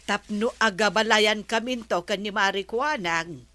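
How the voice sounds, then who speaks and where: A woman's voice: medium pitch, a little slow, pushed and bright. A woman playing an eager, matchmaking village wife in a comedy radio drama, with an Ilocano accent. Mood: eager, gossipy.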